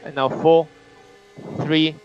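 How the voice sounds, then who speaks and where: A man's voice: on the high side, flat delivery, takes it slowly. A man working as a race engineer, giving clipped instructions and countdowns to a driver over team radio. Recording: dull noisy motorsport radio link.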